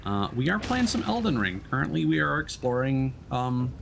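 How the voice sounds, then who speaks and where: A man's voice: medium pitch, sing-song, moderate pace, gravelly, fairly bright tone, a little hiss or hum. A man livestreaming a video game, chatting casually and in a friendly way with his viewers. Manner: friendly, addresses chat viewers by name. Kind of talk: casual chatty stream talk while playing.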